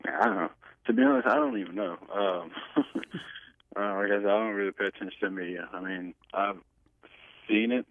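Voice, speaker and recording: Over a narrow, tinny telephone line, a man in his twenties talks in a deep, low voice. He is an athlete being interviewed, speaking casually with hesitant phrasing and frequent pauses. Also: big pitch swings, vocal fry, no room sound. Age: 20s. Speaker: pro football player being interviewed. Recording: tinny, band-limited phone line.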